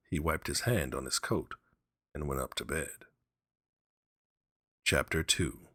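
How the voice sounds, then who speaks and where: Male story reader, dramatic narration, low voice, expressive intonation, dry recording. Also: husky, no room sound.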